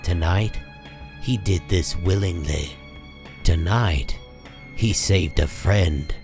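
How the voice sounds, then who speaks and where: Man, low voice, slow pace, grave dramatic narration, comic book storytelling, faint music bed. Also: creaky. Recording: music under the voice.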